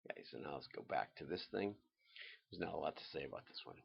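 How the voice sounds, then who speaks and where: A man's voice: a bass voice, lively intonation, quick pace, gravelly, husky. A man hosting a livestream, chatting casually about adverts in a rambling, folksy way. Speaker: livestream host. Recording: slightly dull audio.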